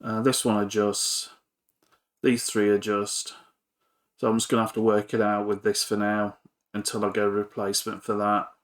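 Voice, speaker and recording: A man's voice: lowish voice, monotone, moderate pace. A man giving an informal, hesitant, rambling commentary on a repair at his workbench, with a self-effacing manner. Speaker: hobbyist electronics repairer and video presenter.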